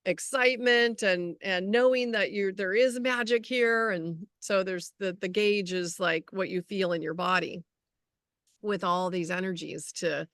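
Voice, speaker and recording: Woman, medium pitch, dry sound, casual podcast chat, halting phrasing. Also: fairly bright tone, no echo. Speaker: podcast co-host. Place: outdoors.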